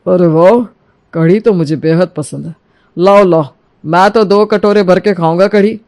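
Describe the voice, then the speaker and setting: A man's voice: sits high, a little slow, darkish tone, dry recording. A man voicing a grumbling, food-loving middle-aged father-in-law in a cartoon story, with a wry, hearty manner. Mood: delighted, hungry. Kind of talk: Hindi cartoon moral story dialogue.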